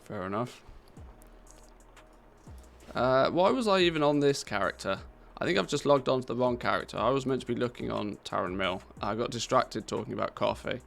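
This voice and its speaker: A man's voice: mid-range voice, expressive, moderate pace, vocal fry. A British man chatting casually on a live game stream, reading out and answering viewers' questions with easy laughter. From Britain.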